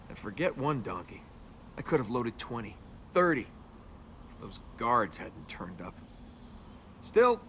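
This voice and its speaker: Casting: flippant young rogue adventurer for a video game, very lively pitch swings, sarcastic banter, clear background noise. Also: male voice. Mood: flippant, boastful. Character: cocky, wisecracking young male adventurer and tomb robber.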